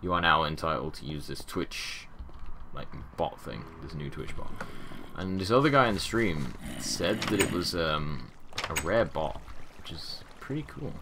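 A young man with a deep voice and a sore throat, chatting casually over gameplay in a rambling way that often trails off into mumbles. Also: sing-song, unhurried. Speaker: gaming channel host. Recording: audible background noise and keyboard clicks.

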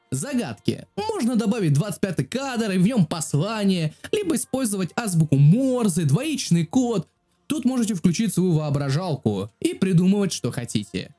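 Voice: medium pitch, sing-song, moderate pace, dark tone. A video creator narrating a casual joking tutorial in a crude, slangy, self-deprecating way. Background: music.

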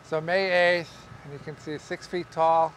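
A middle-aged man, a market farmer, explaining how he grows tomatoes in a relaxed, rambling way with many fillers, recorded in a large greenhouse by a camera some distance away. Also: a little high, a little slow, slight background noise. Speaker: market farmer teaching growing. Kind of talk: casual on-camera farming lesson. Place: large greenhouse among tall tomato plants. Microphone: camera at a distance, wide shot.

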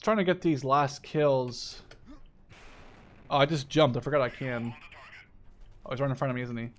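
A man's voice: medium pitch, steady tone, normal speed. A man in his twenties giving casual let's play commentary, rambling and repeating himself, with casual swearing. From Netherlands. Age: 20s. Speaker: gaming video host.

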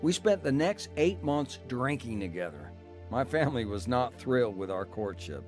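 A man's voice: medium pitch, sing-song, moderate pace, soft background music, natural voice. An actor playing a rebellious, hard-drinking young man in a radio drama testimony. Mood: reflective. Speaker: dramatised testimony narrator and lead. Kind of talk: radio drama narration and acting.

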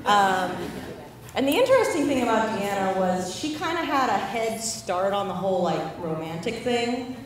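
A woman's voice: mid-range voice, sing-song, moderate pace. Young woman toasting in an echoey hall. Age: young adult. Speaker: bride's sister giving a toast. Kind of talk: casual, anecdotal wedding speech. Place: large indoor reception room with windows. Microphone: handheld microphone heard from across the room. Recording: echoey hall, distant, clear room noise.

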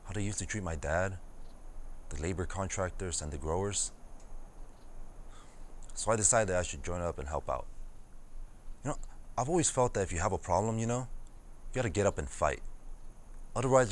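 A young male actor playing an earnest farmworker reminiscing in a conversational, reflective monologue. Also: sits low. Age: young adult. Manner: conversational, reflective, peppered with "you know". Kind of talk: dramatized oral history monologue.

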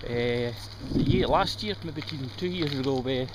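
A chatty middle-aged Scottish man talks into a handheld action camera while out on a bike ride. His voice sits at an ordinary middle pitch, and the outdoor camera audio catches clear traffic noise and ambient sound behind him. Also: normal speed. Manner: chatty, rambling, pointing things out. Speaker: vlogger. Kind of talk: casual commentary while cycling. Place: outdoors on canal paths and roadsides. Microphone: action camera held or mounted on the bike.

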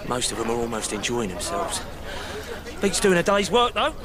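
An actor playing a brisk, cheeky, streetwise English army gunner in a radio play, colloquial and sardonic. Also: male voice, mid-range voice, wildly swooping pitch, over a noisy crowd. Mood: wry. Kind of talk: naturalistic radio play acting. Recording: noisy outdoor ambience behind dialogue.

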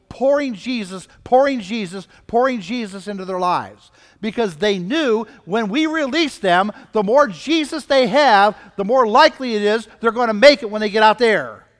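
An older man preaches to his congregation in a high-pitched voice. He drives his points home with repeated phrases and rhetorical questions, and his words ring with the long reverb of a church hall. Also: a bit bright. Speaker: pastor preaching. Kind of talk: sermon to a congregation. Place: church hall, indoors at a lectern. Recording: reverberant hall, picked up from a distance.

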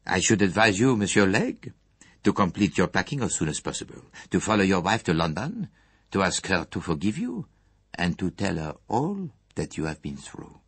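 A courteous foreign detective, acted out by a male audiobook reader, formal and gently probing. His phrasing is precise and measured, his pitch swings widely, and the voice is a little rough-edged in a very dry recording. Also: big pitch swings, dry recording, exaggerated voice. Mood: earnest, advising.

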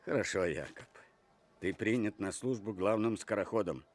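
An actor playing a stern, stately king in an animated film, speaking in a commanding, measured way. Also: fairly low pitch.

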